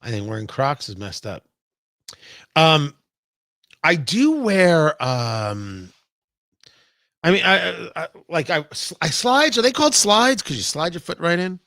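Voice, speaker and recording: Bright, forceful male live-stream host. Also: fairly high pitch, big pitch swings, slow, vocal fry, pauses often, dry recording, studio quality. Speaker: talk show host. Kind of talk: casual live-stream talk with viewers.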